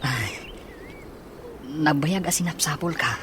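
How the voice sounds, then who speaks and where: A man's voice: on the high side, takes it slowly, background noise. An actor with an Ilocano accent playing an earnest, smitten young man in a radio drama.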